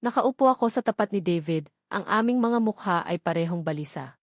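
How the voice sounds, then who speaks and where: A woman's voice: on the low side, animated, brisk, no echo, clean studio sound. A woman with a Filipino accent reading a dramatic first-person story as a narrator.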